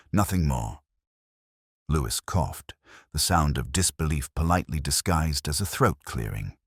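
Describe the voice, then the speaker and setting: Very deep-voiced male story narrator. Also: husky, no room sound, clean studio sound. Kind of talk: scripted first-person detective story narration.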